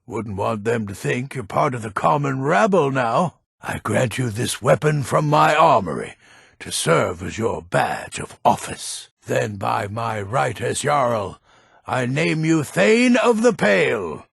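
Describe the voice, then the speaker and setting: Game role: haughty old mage-lord, grumpy and curt, heavily gravelled and husky, with wide swings in pitch. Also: male voice, big pitch swings, takes it slowly, fairly bright tone, no echo, clean studio sound, exaggerated voice. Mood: stately, haughty. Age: older. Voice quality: gravelly, raspy. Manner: gruff, curt, impatient. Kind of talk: video game character dialogue.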